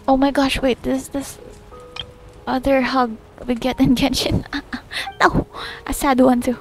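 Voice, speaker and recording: A young woman chatting casually as she reacts to gameplay, her voice fairly high with a noticeable creak. She slips between murmured asides and expressive exclamations while faint game music plays. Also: a little slow. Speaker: gaming video creator.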